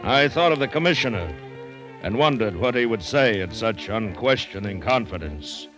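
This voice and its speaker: An actor playing a stubborn, self-assured plantation owner with emphatic delivery, in an old-time radio adventure drama. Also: male voice, lowish voice, animated, moderate pace, natural voice, poor audio. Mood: calm, assured. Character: supremely confident, smug, stubborn male plantation owner. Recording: vintage radio recording with music.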